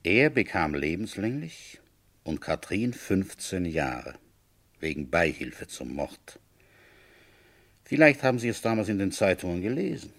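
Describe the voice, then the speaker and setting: A man playing a shrewd, wry village police inspector in a radio play, deliberate and brooding as he tells his case. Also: sits low, full character voice. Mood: wry, confiding. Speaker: narrator and lead actor. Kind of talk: radio play, first-person detective narration.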